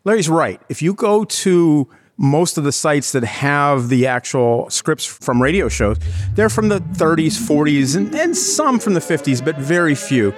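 A former stage actor telling animated stories in an interview. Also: male voice, fairly high pitch, measured pace, faint music behind. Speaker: guest, former theatre actor. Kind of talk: casual interview answers.

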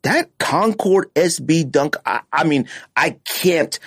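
A man hosts a casual podcast countdown with very lively, swooping intonation. He is blunt and opinionated, leaning on slang and punching key words. The sound is dry, with little room in it. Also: a little high, takes it slowly, breathy edge, no room sound. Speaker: podcast host. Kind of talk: casual sneaker countdown chat.

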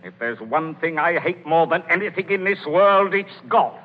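A man's voice: on the high side, expressive, normal speed, lightly acted. A man playing an exasperated middle-aged businessman guest, stammering and grumbling in 1930s radio comedy style. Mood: grumbling, irritated. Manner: stammering, spluttering. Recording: muffled, echoing 1930s radio recording.

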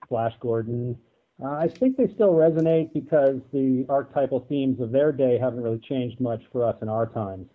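Male panel guest, mid-pitched, considered and articulate, heard through a narrow telephone-style connection. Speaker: podcast guest, audio drama producer. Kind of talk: thoughtful roundtable discussion. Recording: thin, phone-like band-limited line.

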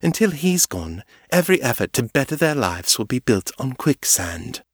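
A man's voice: mid-range voice, big pitch swings, moderate pace, slightly rough, no room sound, studio quality, pitched above the performer's own voice. A man voicing a haughty, aristocratic female vampire who speaks in a polished, condescending way. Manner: polished, formal, patronizing.

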